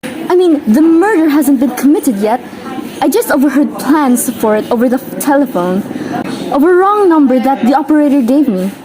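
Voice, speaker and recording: An actress in a radio play, playing an anxious, demanding invalid wife who is easily exasperated and insistent. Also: female voice, sits high, animated, normal speed, a crowd in the background, rough recording. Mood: agitated, pleading. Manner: agitated, insistent, indignant. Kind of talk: old-time radio play acting.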